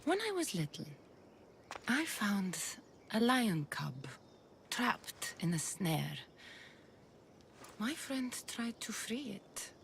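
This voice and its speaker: An actress playing a bitter young Greek warrior woman in a dramatic video game, speaking with menace and gravel in her voice. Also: mid-range voice, big pitch swings, a bit bright, a little hiss or hum, heavily acted. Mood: menacing. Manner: menacing, deliberate.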